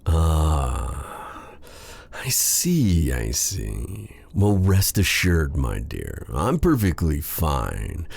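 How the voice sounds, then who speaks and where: A man playing a proud but tender dark sorcerer in an intimate ASMR roleplay drama, speaking with halting, theatrical courtliness in a breathy, gravelly voice. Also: very deep voice, huge pitch swings, no room sound, full character voice. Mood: calm, reassuring.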